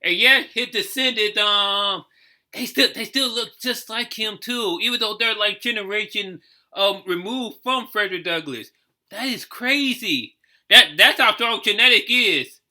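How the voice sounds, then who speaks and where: Guy doing a reaction video, really sharp high voice, keeps restarting his thoughts as he talks it out. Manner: halting, repetitive phrasing, thinking aloud. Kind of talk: casual off-the-cuff reaction commentary.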